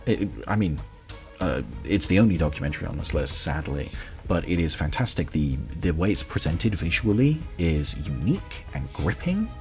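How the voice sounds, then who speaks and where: A man hosting a show, talking casually and haltingly through a film countdown with blunt, emphatic opinions. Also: deep voice, a little mellow. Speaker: show host.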